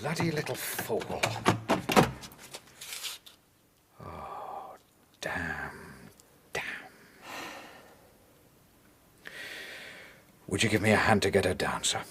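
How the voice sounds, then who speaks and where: An actor playing a harassed, weary and defensive police inspector in a radio drama. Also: male voice, low voice, big pitch swings, normal speed, heavy background noise. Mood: shaken, cursing.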